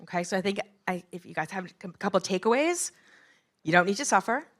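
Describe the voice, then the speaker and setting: A woman's voice: mid-range voice, animated, quick pace, a bit bright. Candid chatty woman in her fifties. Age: 50s. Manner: candid, chatty, rallying the audience with questions. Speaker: nonprofit founder closing a panel event. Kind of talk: closing remarks to a live audience.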